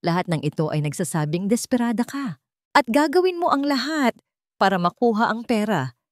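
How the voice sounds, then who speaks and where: A woman voicing a cold, calculating businessman and father in a narrated drama, deliberate, commanding and accusing. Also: fairly high pitch, sing-song, no echo, studio quality, voice pushed up. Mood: cold accusation.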